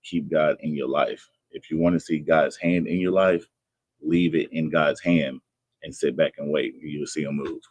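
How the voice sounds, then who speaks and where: A man in his thirties with a low, deep voice. He speaks sincerely and plainly in an interview, recorded dry and close. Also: steady tone, normal speed, breathy edge, no room sound. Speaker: personal trainer, guest. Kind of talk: earnest conversational interview.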